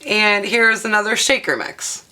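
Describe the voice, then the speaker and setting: A woman with a hoarse, half-lost voice, chatting through a craft tutorial at her table and showing items with plenty of ums and uhs. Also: medium pitch, steady tone, unhurried, sharp tone, low-quality recording. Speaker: craft vlogger. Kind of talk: casual show-and-tell craft tutorial.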